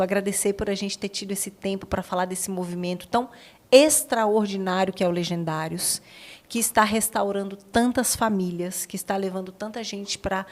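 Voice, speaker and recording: A woman's voice: mid-range voice, darkish tone. A woman with a Brazilian accent, hosting a podcast interview in a conversational style. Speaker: podcast host.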